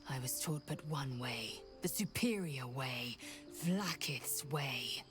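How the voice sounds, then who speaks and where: A woman's voice: very deep voice, expressive, slow, full character voice. An actress playing a proud, zealous alien warrior woman in a video game, with an imperious, clipped and intense delivery. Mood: proud, reflective. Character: fierce, proud young githyanki warrior woman, zealous and haughty. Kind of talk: dramatic video game acting. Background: background music.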